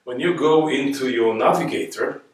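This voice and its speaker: The sound is strongly reverberant and a little distant from the microphone. A man with an Israeli accent speaks at a middling pitch in the manner of a reflective lecturer. He stresses his points, asks rhetorical questions and builds by repetition. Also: normal speed. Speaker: guest speaker. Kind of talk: reflective spoken lecture on history. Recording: echoey room, distant microphone.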